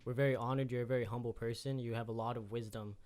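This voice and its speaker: A man's voice: mid-range voice, steady tone, fast talker, darkish tone. A man hosting a casual motivational podcast, speaking in an appreciative, encouraging way to his guest. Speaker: podcast host. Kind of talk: casual motivational interview talk.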